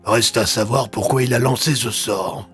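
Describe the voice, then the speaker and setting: A man playing a grave, terse police commissioner with a husky voice, in an audio drama. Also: medium pitch, steady tone, normal speed, a bit bright, faint music behind, natural voice. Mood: sober.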